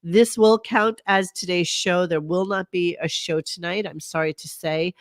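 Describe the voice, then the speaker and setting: A man's voice: high-pitched, steady tone, moderate pace, no room sound, clean studio sound. A man hosting a casual livestream commentary, sick and congested, with a nasal voice and a sarcastic, grumbling manner. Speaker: podcast host.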